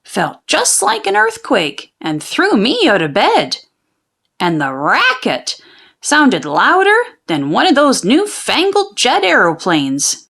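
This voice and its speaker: A woman narrating a children's storybook in an expressive, folksy storytelling manner. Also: fairly high pitch, slow pace, gravelly. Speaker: children's book read-aloud narrator. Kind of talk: animated storybook narration of a folksy tall tale.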